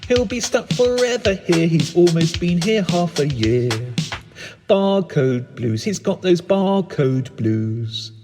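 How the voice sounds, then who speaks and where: A man performing narrative poetry in a theatrical, deliberate manner with dramatic pauses and emphatic repetition. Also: high-pitched, animated, over music. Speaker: spoken-word poet.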